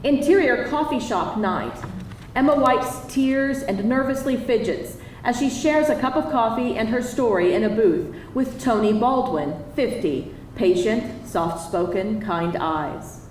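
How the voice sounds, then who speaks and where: A woman's voice: on the high side, expressive, measured pace, a little mellow. A woman narrator reading screenplay stage directions aloud at a live table read. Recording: echoey live hall, distant, faint noise.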